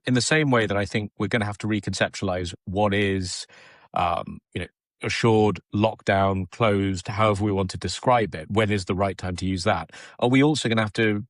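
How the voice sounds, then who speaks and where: A man's voice: on the low side, no echo, studio quality. A man hosting a podcast interview, talking conversationally and thinking aloud with restarts. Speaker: podcast host.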